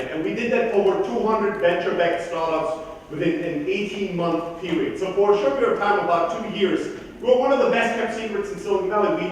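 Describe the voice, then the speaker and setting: A man in his thirties from Greece, raised in southern Germany, giving a blunt, profane, energetic keynote talk at a conference. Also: high-pitched, slight background noise. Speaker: conference speaker. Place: large conference hall. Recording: echoey hall from far off.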